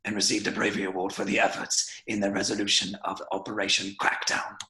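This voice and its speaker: A man reading a formal service record in a measured, official voice-over. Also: lowish voice, monotone, pushed and bright. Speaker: voice-over reader.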